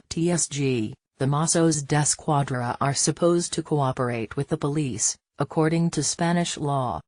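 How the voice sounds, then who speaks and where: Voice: mid-range voice, no room sound, studio quality. A synthetic-sounding voice reads a news interview aloud as a script, speaking the question and answer labels along with the text. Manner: even, unhurried read-out, speaking the interviewer and guest labels aloud. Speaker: news reader.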